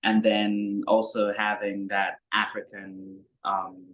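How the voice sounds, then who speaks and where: A young man from Kenya, a musician guest, talking in a relaxed, rambling way with many fillers. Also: lowish voice, slow pace, poor audio. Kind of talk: casual interview chat.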